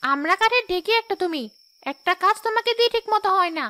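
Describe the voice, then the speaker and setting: A woman's voice: very high-pitched, animated, quick pace, pushed and bright, full character voice. A woman voicing a greedy, sly, snappish female ghost in a Bengali cartoon story. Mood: scolding. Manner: snappish, irritable, scolding.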